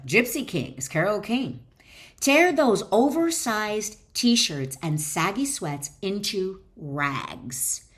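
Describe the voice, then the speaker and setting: Female author, middle-aged, mid-pitched voice, encouraging self-help read with informal, chatty delivery. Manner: encouraging, direct address to listener. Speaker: author and wellness educator. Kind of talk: reading own book aloud with chatty asides.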